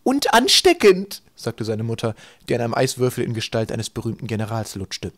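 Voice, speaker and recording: Low-voiced man narrating an audiobook. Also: little pitch movement, fast, a little mellow, no echo, studio quality. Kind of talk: scripted literary narration, storytelling.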